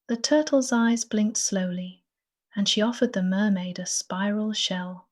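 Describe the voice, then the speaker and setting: A woman narrating a bedtime story in a gentle, soothing, partly whispered voice. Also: mid-range voice, unhurried.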